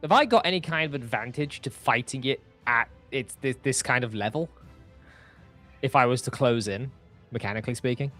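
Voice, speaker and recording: A man with a medium-pitched voice plays a tabletop roleplaying game. He thinks out his moves aloud in casual talk, over faint background music. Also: animated, moderate pace, bright, forceful tone.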